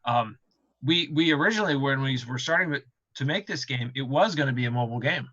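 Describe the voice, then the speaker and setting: A man with a bright, forceful voice talks through his points as a developer on a panel. His delivery is casual and explanatory, at a middling pitch. The audio is somewhat dull and band-limited. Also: quick pace. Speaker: game studio developer on a panel.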